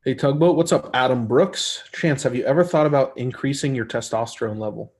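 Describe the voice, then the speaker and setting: A man hosting a casual live stream, reading viewer comments and answering them in an easygoing, rambling way with a soft voice. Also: mid-range voice, a little fast. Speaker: arm wrestler hosting a live chat stream.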